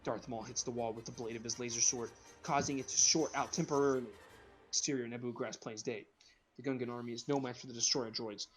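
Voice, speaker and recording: A man's voice: medium pitch, fairly even intonation, fast, faint music behind. A man narrating a script informally for an amateur comedy reading, sometimes stumbling over the words.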